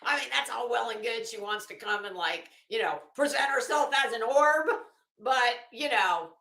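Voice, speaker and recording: A woman's voice: a little high, expressive, normal speed, creaky, pushed and bright. A middle-aged woman chatting casually as a livestream host, greeting viewers with teasing, salty banter. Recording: echoey room.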